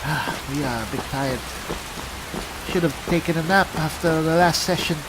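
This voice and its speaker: A man doing in-character roleplay commentary on a game, musing haltingly as if talking to himself. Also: fairly high pitch, very noisy. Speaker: gameplay host, player in character. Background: rain and thunder from the game.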